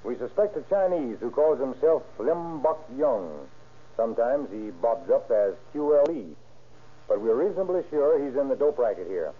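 A man's voice: mid-range voice, very animated, husky. A man playing a shrewd, matter-of-fact police narcotics chief in a 1930s radio drama, on an old narrow recording. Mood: businesslike. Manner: measured, matter-of-fact, authoritative. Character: shrewd, businesslike male narcotics bureau chief.

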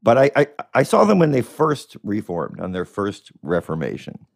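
Man in his fifties, very deep and lively voice, rambling profane storyteller, dry close mic. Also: normal speed, creaky, no echo. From Connecticut. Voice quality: deep masculine voice. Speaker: podcast guest musician. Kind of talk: casual chat.

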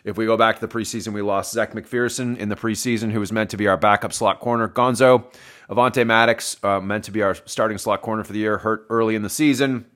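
A man reviewing a football game on his own, hoarse and wheezy, coughing and correcting himself as he talks to his listeners. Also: lowish voice, fairly even intonation, quick pace, fairly bright tone. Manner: rambling, self-correcting, addresses listeners as folks. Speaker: sports podcast host.

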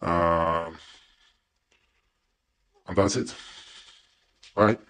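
A man's voice: deep voice, steady tone, very slow, a bit bright, smooth. A man from Spain with a mixed Spanish and American accent, a native Spanish speaker giving a casual, hesitant testimonial. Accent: mix of Spanish and American. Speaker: student giving a coaching testimonial.

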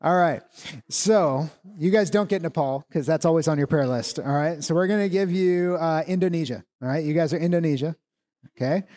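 A man leading a church family meeting, giving relaxed, joking instructions to tables of people. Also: fairly high pitch, brisk. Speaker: church leader.

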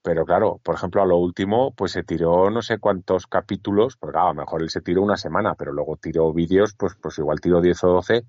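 A man talks in a very low voice, rambling and repeating words as he chats casually. The sound is dry and somewhat dull, lo-fi. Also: fast, hardly any pauses, a little mellow, no room sound. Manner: chatty, repeats words, many fillers and restarts. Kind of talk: casual chat.

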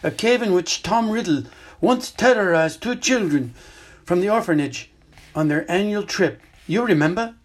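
A man voicing a calm, grave old male wizard headmaster in a gentle, measured way, with a husky voice. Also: sits high, pitched above the performer's own voice.

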